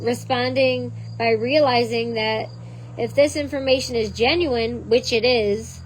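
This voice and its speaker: A woman with a hoarse voice reading a text aloud and breaking off into chatty side notes, as the host of her own channel. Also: fairly high pitch, slight background noise, rough recording. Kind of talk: reading aloud with casual asides.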